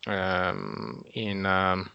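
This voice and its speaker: A man's voice: low voice, big pitch swings, very slow, gravelly, clean tone. A man answering interview questions in a hesitant way, full of fillers and repeated words. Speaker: nonprofit founder, guest. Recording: dull lo-fi audio.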